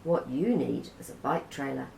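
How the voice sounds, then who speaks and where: A man's voice: medium pitch, animated, moderate pace, gravelly, darkish tone, roomy sound, slight background noise, rough recording. A woman reading as a practical grown son. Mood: helpful. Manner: matter-of-fact, advising.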